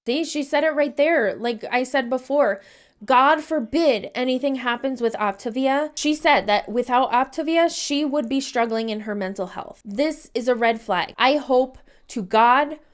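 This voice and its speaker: A woman's voice: high voice, unhurried. A woman giving earnest reaction commentary, pleading with viewers.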